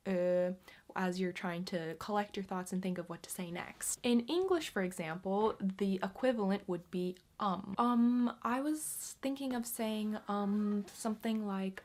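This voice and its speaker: Chatty young woman explaining language. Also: medium pitch. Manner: chatty, relaxed, uses fillers. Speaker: channel host. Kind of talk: casual explainer to camera.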